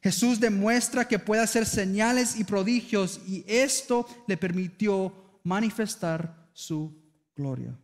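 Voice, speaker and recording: A man with an Argentine accent preaches earnestly from a church pulpit, his voice sitting high. He calls out to the congregation for amens. The sound rings with the long reverb of a big hall. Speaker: pastor. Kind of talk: sermon. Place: church sanctuary.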